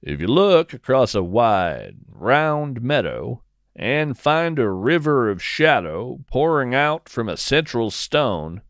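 A man's voice: medium pitch, big pitch swings, slow, no room sound, studio quality. A man hosting and narrating a scripted audio-fiction podcast in a wry, dryly understated way.

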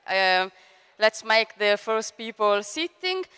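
A woman's voice: mid-range voice, sing-song, takes it slowly, clear, resonant tone. A woman in her forties with an Italian accent, hosting a conference and introducing speakers on stage with many fillers, into a handheld mic. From Italy. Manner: hesitant, many fillers, warm thanks. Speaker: event director and host. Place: large event hall on stage. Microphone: handheld microphone. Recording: long hall reverb.

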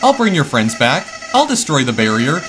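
A man's voice: mid-range voice, wildly swooping pitch, moderate pace. A man voicing a spiteful evil flower who taunts and cackles, with music playing underneath. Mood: menacing.

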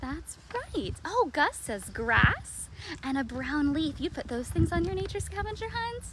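A woman's voice: high voice, measured pace. An American woman hosting a storytime for young children outdoors, talking expressively and putting questions to her viewers. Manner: animated and expressive, with dramatic gasps, whispers and questions. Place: outdoors in a backyard. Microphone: handheld or propped phone at arm's length. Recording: outdoor phone recording with clear background noise.

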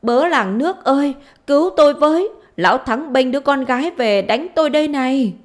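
A woman's voice: medium pitch, animated, normal speed, close to an everyday voice. A woman voicing a spiteful, foul-mouthed middle-aged village wife in a story, scolding, wailing and taunting. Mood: outraged wailing.

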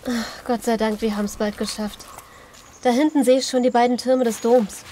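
A woman's voice: on the high side, fairly even intonation, moderate pace, warm tone, a little hiss or hum, lightly acted. A woman playing a hopeful, inquisitive survivor in a horror audio drama. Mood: relieved, resolute.

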